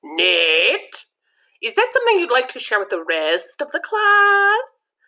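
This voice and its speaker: A woman's voice: sits high, huge pitch swings, slow pace, bright, forceful tone. A woman reading a children's comic book aloud, breaking off now and then to add her own chatty comments. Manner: chatty, adds personal comments between passages. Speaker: read-aloud narrator.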